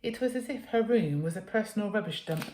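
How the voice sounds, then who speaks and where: A woman's voice: medium pitch, expressive, brisk, warm tone, a little hiss or hum. A woman with a British accent reading a children's story expressively, as a teacher would to pupils. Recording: echoey room.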